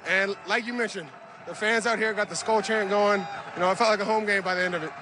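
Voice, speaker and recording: A young male player giving a relaxed post-game interview on an outdoor field, into a handheld mic. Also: high voice, quick pace. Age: young adult. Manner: easygoing, cliché answers with fillers. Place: outdoor stadium field, cold night.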